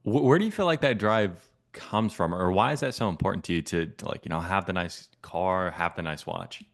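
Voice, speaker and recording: A man's voice: low-pitched, fairly quick. A man coaching on a sales call, asking probing, persistent questions in a casual way.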